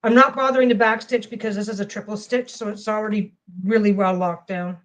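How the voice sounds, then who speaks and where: A woman talks through her quilting project at home in a loose, meandering way. She restarts her sentences again and again as her thoughts wander. Her voice sits at an ordinary middle pitch. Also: fairly even intonation, a little fast. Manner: rambling, restarts phrases often. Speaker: quilting vlogger. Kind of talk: casual chat while sewing.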